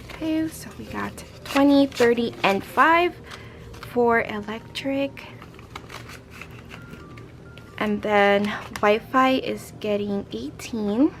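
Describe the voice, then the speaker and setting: A young woman with a hoarse, raspy voice and a bit of a gravelly edge, talking in a loose, rambling way as she counts bills aloud. Her voice sits a little on the high side, with faint room hiss behind her. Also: slow pace. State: hoarse from a late night. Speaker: budgeting vlogger. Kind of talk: casual chat while stuffing cash envelopes.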